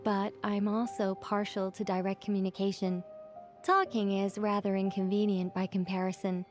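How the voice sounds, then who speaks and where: A woman's voice: mid-range voice, natural voice. A woman voicing an elegant, teasing sorceress. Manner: measured, elegant, gently teasing. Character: elegant worldly woman, playful and composed. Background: faint game music.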